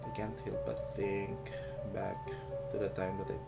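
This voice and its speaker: Low-voiced man reading game text aloud. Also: completely flat delivery, measured pace, gravelly. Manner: reading aloud with stumbles and reactions. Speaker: game streamer. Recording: loud game music over the voice. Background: game music with plucked strings.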